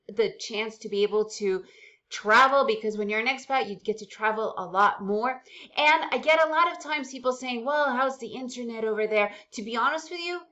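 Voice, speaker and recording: A woman's voice: fairly high pitch, a bit bright, dull audio. A woman who grew up in the United States after a childhood in the Soviet Union, whose first language is Russian, hosting a casual, upbeat vlog and chatting straight to her viewers. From Soviet Union, raised in the United States. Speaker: day-trading channel host. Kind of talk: casual direct-to-camera vlog.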